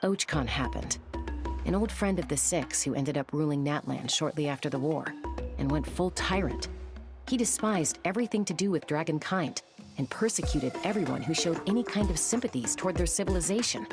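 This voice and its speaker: A woman voicing a composed, knowledgeable storyteller in a video game, measured and explanatory. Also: deep voice, lively intonation. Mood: grave. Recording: loud background music.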